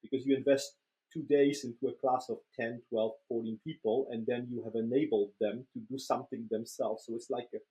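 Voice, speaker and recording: A man's voice: mid-range voice, steady tone, fairly quick, dark tone, poor audio. A man from Poland, explaining conversationally as a guest, hesitant and repeating words as he goes. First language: Polish. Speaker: interview guest, professional trainer.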